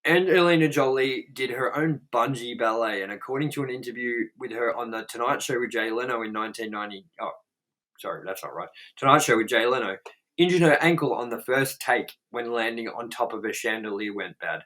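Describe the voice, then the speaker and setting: A man's voice: medium pitch, brisk, a bit bright, low-quality recording. Profane rambling guy. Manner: rambling, profane, blunt, with many "like" fillers. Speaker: co-host of a movie review show. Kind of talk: casual chat reading out movie trivia.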